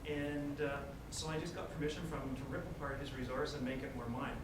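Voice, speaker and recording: A North American man in his forties talks through his teaching slides in an easy, conversational way and asks the room questions. His voice sits a little high. It is recorded from a distance in a large, echoing conference room with a clear background rumble. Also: fairly even intonation, brisk. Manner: conversational, gestures broadly, asks the audience questions. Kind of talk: conference presentation.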